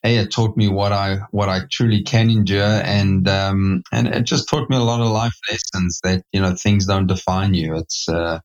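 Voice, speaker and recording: A middle-aged South African man speaks in a low, level voice, circling back over his words with fillers and restarts as he reflects on his career. The recording sounds muffled and lo-fi. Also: fairly even intonation, normal speed, few pauses. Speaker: guest, company CEO. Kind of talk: podcast interview.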